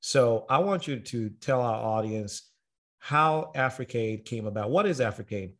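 A man's voice: medium pitch, measured pace. Earnest man hosting a podcast. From Tanzania. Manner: earnest, with frequent uh fillers. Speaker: podcast host. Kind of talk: podcast interview.

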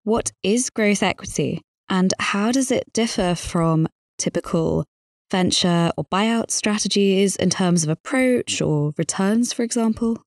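A woman's voice: mid-range voice, a little slow, no room sound, studio quality. A woman hosting a podcast, delivering a scripted introduction and interview questions. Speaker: podcast host.

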